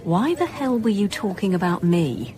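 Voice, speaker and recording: Woman acting senior police detective, sceptical, terse, medium pitch, radio drama, busy chatter in the background. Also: very animated, normal speed, close to an everyday voice. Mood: suspicious, prickly. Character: brisk, sceptical female detective chief inspector.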